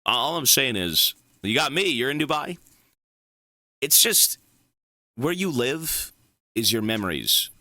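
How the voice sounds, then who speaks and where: A man's voice: medium pitch, very animated, slow pace, long pauses, bright, forceful tone, no echo, studio quality. A man from Canada streaming a game, chatting loosely with his chat, swearing and rambling as he answers questions. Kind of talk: casual stream chat while gaming.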